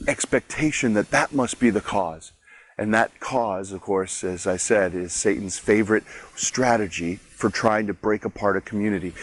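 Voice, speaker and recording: A man, a pastor, giving a conversational daily devotional, explaining a Bible chapter with frequent restarts. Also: fairly low pitch.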